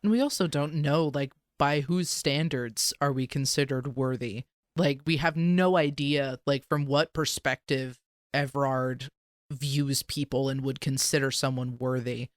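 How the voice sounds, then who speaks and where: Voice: mid-range voice, fairly even intonation, measured pace, no echo, clean studio sound. A podcast co-host talking casually in an informal fan discussion.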